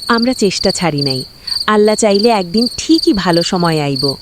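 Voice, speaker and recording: A woman voicing a gentle, hopeful village mother who reassures her husband. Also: mid-range voice, animated, normal speed, lightly acted. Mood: tender. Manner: soothing, reassuring. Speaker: cartoon voice actor. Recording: loud cricket and insect noise.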